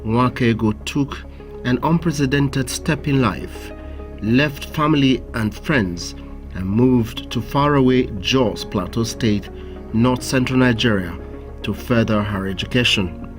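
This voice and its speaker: A man reads documentary narration in a measured, formal tone, his voice at an ordinary middle pitch. Clear music plays beneath him throughout. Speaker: narrator and interviewer.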